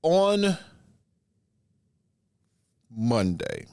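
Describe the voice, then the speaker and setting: Congested man giving lively wrestling commentary. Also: a little high, smooth, dry recording. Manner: animated, drawn-out pauses between phrases. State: congested, getting over a cold. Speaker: wrestling podcast host. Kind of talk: casual solo commentary.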